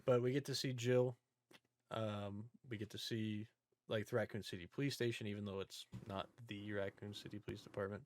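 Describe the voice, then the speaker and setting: A man's voice: lowish voice, steady tone, brisk, darkish tone, no echo. A man hosting a podcast, talking casually about films in an informal, joking recap style. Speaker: podcast host. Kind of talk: casual movie discussion.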